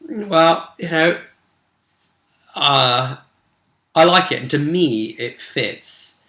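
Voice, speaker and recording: Bright-voiced man, unhurried, in an echoey room. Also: fairly high pitch. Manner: animated, jokey, self-interrupting. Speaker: game master and vidcast host. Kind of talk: casual rambling recap of a tabletop role-play session. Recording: echoey, roomy sound.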